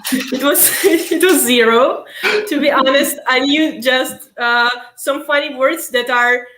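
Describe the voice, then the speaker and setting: Young woman student guest, hesitant and laughing, slightly high voice, echoey room. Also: takes it slowly, a bit bright, slight background noise. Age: young adult. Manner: hesitant, many ums, laughs easily. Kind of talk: casual interview.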